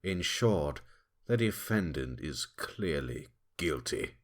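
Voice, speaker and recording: A man's voice: very deep voice, animated, slow pace, exaggerated voice. A man playing a stern, sly rat prosecutor in an audio drama, formal and haughty with a threatening edge. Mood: smug, insistent. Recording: loud music under the voice.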